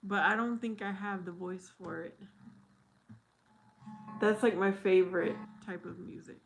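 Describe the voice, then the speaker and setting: A woman chats slowly and easily on a live stream, answering viewers by name and laughing often. She keeps an unhurried pace, with faint guitar music playing softly behind her. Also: medium pitch, fairly even intonation, creaky. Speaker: live streamer reading chat. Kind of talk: casual live stream chat.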